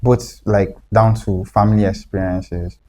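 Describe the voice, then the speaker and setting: A young man, talking casually as a writer guest, relaxed and repeating himself as he explains. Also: lowish voice, a little slow, a little hiss or hum. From Nigeria. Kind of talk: casual conversation.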